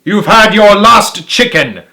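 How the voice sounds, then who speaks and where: A man reading aloud and voicing a fat, stubborn male farmer who yells in fury. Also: high voice, expressive, takes it slowly, gravelly, pitched above the performer's own voice, exaggerated voice. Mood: furious.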